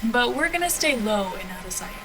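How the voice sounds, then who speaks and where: A woman's voice: on the high side, very animated, brisk. An actress playing a brash, wry female pilot and bounty hunter in an audio drama, with a casual, dry, slangy way of talking. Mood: wry, gruff.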